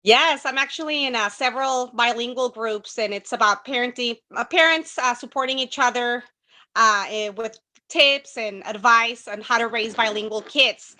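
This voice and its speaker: High, bright woman. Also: normal speed. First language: Spanish. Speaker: co-host, social media manager. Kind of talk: casual advice discussion, reading questions.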